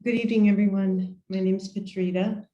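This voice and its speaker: A woman's voice: mid-range voice, fairly even intonation, fairly quick, muffled recording. Earnest woman in an echoey room. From San Francisco. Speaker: committee member. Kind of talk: casual self-introduction.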